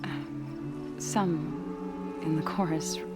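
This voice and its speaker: A woman playing an earnest, reverent envoy of a farming tribe in a video game, speaking in a measured, halting, solemn way. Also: low voice, steady tone. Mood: hesitant. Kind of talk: dramatic video game cutscene acting. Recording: loud music behind the voice. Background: music.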